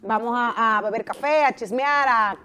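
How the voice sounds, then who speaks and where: A woman in her thirties with a Dominican accent and a high voice. She hosts a casual TV interview in a studio, talking in an informal, teasing, chatty way. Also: moderate pace, bright, forceful tone. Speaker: TV morning show host. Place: TV studio living-room set, indoors. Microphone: lapel.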